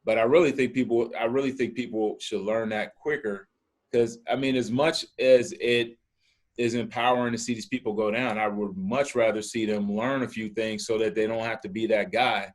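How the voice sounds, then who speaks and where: A man hosting a casual chat podcast, talking in an animated way and repeating words as he starts his thoughts. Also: fairly low pitch, normal speed. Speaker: podcast host.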